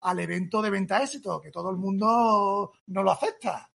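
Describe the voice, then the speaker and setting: High gravelly husky male host. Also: moderate pace, fairly bright tone. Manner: eager, prompts with "¿no?" tags. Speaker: podcast host. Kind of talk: interview hosting.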